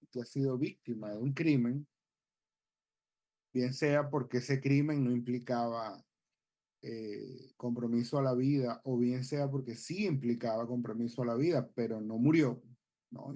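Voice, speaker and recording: A man with a Venezuelan accent, a psychologist guest giving measured explanations with frequent hesitations on a TV talk show. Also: medium pitch, warm tone. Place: TV studio.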